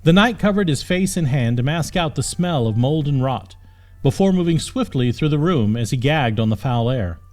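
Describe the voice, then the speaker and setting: A man's voice: on the high side, lively intonation, normal speed, a little mellow. A man reading a fantasy story as an audiobook narrator, with dramatic scripted storytelling. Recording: music under the voice. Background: background music.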